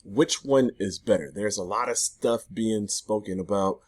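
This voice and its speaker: A man in his thirties speaks in a very low, hoarse voice, chatty and loose, often restarting his sentences. He talks straight to the camera from his home garage. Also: a little slow, darkish tone. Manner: chatty, loose, self-interrupting. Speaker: affiliate marketing vlogger. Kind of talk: casual talk to camera.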